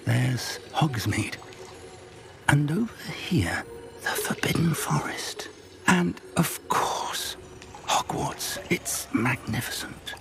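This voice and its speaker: Animated older wizard mentor. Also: male voice, on the high side, takes it slowly, gravelly, music in the background, full character voice. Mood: awed. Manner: expressive, theatrical, inquisitive. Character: older male wizard professor, eager, kindly mentor. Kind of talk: video game voice acting.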